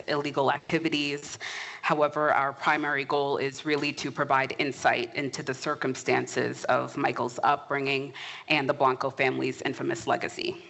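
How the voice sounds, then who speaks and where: A woman hosting a museum program, reading a formal introduction and then asking interview questions in a measured way. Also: low-pitched, a bit bright, rough recording. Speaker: host and moderator.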